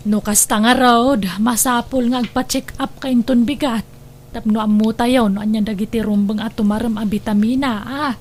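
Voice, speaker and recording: A high-voiced, caring mother-in-law who worries over her family , acted by a woman in an Ilocano radio drama. She has a Filipino Ilocano accent. The recording carries some noise. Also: fairly even intonation, normal speed, a little mellow, close to an everyday voice. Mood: caring, reassuring.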